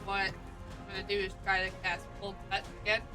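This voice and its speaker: A man's voice: high voice, completely flat delivery, fairly quick, over music, rough recording. A man playing a tabletop game, talking casually in a hesitant, understated way.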